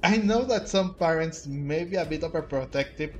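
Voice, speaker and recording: A man giving casual let's play commentary, haltingly, repeating words as he searches for phrasing. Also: on the high side, a little mellow, highs cut off, slight background noise. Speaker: gaming streamer.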